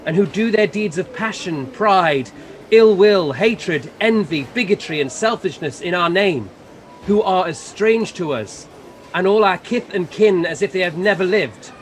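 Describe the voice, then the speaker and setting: A man playing a stern, solemn Christmas spirit in an amateur radio play, speaking in a deliberate, formal way. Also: high voice, expressive, a little hiss or hum. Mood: stern rebuke. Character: solemn, rebuking male Spirit of Christmas Present.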